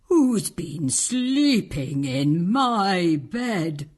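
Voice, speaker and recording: A woman voicing a prim, easily shocked mother bear in a storybook, with a breathy voice. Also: fairly low pitch, big pitch swings, drawn-out words, darkish tone, heavily acted. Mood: alarmed.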